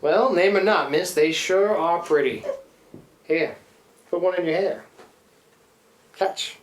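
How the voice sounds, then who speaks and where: A man's voice: on the high side, takes it slowly. Guy doing scruffy folksy boat skipper, sweet n plucky, bit stammery, live radio play in echoey room. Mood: friendly, bashful. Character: scruffy, good-hearted, folksy male riverboat skipper, adoring and plucky. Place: live theatre performance in a reverberant room.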